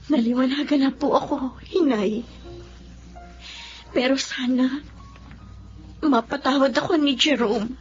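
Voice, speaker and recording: A woman's voice: high-pitched, slow pace, pauses often, soft background music. A woman with a Filipino accent acting in a radio drama as an ambitious, emotional wife and mother with a pointed manner. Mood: remorseful. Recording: muffled audio with faint background noise.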